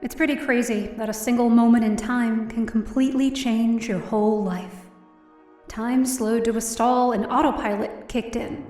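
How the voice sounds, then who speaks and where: A woman's voice: fairly high pitch, steady tone, normal speed, a little mellow, roomy sound, distant mic, soft background music, close to an everyday voice. A woman playing a down-on-her-luck, distressed young woman narrating her own story in an audio drama. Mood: numb, anguished. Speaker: lead actor in audio drama. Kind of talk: first-person dramatic narration.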